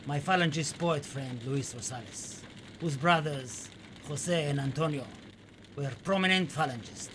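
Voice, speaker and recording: Behind clear background noise comes a man playing a haunted Spanish poet in a radio play. His voice sits a little high. He delivers his monologue with deliberate, dramatic, poetic phrasing. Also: natural voice. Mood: fearful, urgent.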